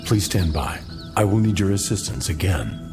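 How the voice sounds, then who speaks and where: An actor playing a grave, courteous male traveler and healer in a video game, speaking in formal, measured phrases. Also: low-pitched, lively intonation, over music. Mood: focused, formal. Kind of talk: video game cutscene acting.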